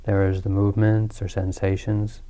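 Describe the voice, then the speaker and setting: Guy doing guided meditation, calm and unhurried, low smooth voice, very even tone. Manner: calm, unhurried, soothing delivery with long pauses. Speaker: meditation teacher.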